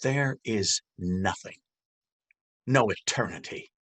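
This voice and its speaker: An actor playing a cruel, taunting police officer in a stage drama, with a mocking, menacing drawl. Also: male voice, on the low side, animated, slow pace, fairly bright tone, exaggerated voice. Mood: smug, dismissive. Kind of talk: stage readers theater drama.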